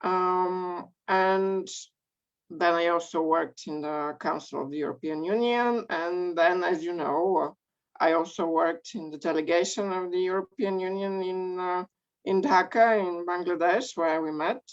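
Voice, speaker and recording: A woman from Bulgaria, telling her career story as a guest in an online interview, measured with many pauses. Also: fairly low pitch. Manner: measured, frequent ums and pauses. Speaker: guest speaker. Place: home or office in Belgium. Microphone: video call. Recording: dull lo-fi call audio.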